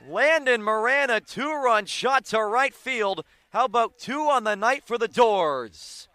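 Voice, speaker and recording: American man, baseball play-by-play, crisp forceful delivery, dry sound, live radio commentary. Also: very high voice, no echo. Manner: excited, drawn-out calls on big plays.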